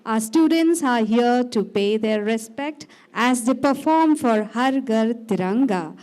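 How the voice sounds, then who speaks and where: An earnest woman announcer speaks. She reads formal lines at a deliberate, unhurried pace. Her voice sits slightly high. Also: expressive, a little mellow, rough recording. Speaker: school event announcer.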